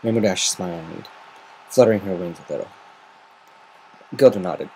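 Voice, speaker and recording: A man's voice: sits low, normal speed, dark tone, a little hiss or hum, rough recording. A man narrating a story as an amateur semi-dramatic reading. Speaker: amateur fan fiction reader.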